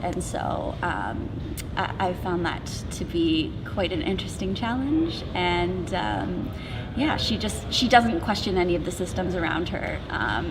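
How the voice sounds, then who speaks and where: A woman speaking as an actress in an interview, giving reflective answers in a thoughtful, earnest and measured way. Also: lowish voice, background noise. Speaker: actress interviewed on a film set.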